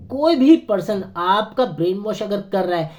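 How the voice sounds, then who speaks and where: Hoarse man giving an earnest sales pitch. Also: expressive, unhurried, darkish tone. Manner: earnest, persuasive, many hesitant fillers. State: hoarse, sore throat. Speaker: network marketing consultant. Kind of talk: persuasive motivational talk to camera.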